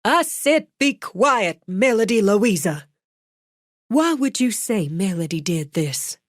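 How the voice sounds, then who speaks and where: Woman playing elderly matriarch, stern, slow, commanding, firm. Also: lowish voice, animated, darkish tone, no echo, clean studio sound.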